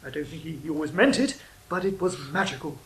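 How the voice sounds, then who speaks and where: A man's voice: a little high, animated, brisk, a bit bright, roomy sound, low-quality recording. A man playing an earnest, dreamy young royal poet who speaks in a hesitant, heartfelt way.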